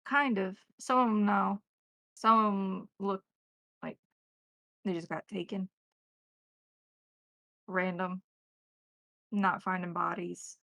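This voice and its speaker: A woman voicing a gruff, blunt male police colleague on a phone call in a tabletop roleplaying game. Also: medium pitch, fairly even intonation, sharp tone, no echo, full character voice. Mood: uneasy, halting. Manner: swearing, blunt, halting cop talk.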